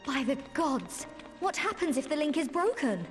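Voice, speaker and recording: Game role: excitable young woman, high voice, lively swings, blunt and caring, fretful by temper. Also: moderate pace. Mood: alarmed. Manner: informal, emotive, blunt. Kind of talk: video game cutscene acting. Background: music.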